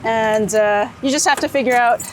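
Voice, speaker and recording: A woman's voice: on the high side, normal speed. A woman chatting informally in an easygoing, giggly way, recorded outdoors as a photographer at work. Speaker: photographer being interviewed while shooting street portraits. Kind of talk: casual unscripted chat. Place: outdoors on a city street, under a bridge. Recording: outdoor city and traffic noise.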